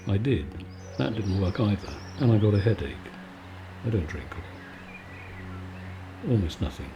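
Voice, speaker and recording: A man giving a calm, soothing, conversational bedtime chat in a relaxed ASMR style. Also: lowish voice, measured pace, highs cut off, background noise. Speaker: video maker and host.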